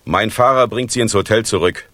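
An actor playing a smug, cynical East German party official, declaiming sardonically in a radio play. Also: male voice, fairly low pitch, brisk, bright, forceful tone, faint music behind. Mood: smugly confident. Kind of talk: theatrical radio play monologue.

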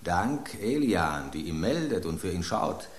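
A man's voice: deep voice, big pitch swings, a little slow. A foreboding, swooping-voiced man. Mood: alarmed suspicion. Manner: dramatic, portentous. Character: foreboding, doomed male watcher at a dinner table. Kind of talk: radio drama acting. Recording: roomy, echoing sound.

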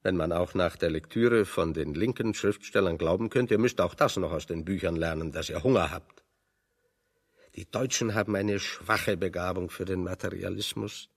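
Radio play: wry exiled intellectual, lowish voice, discursive and pointedly ironic. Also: male voice, moderate pace. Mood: wry, musing. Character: wry, pessimistic intellectual man in exile, fond of lecturing. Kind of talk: German radio drama dialogue.

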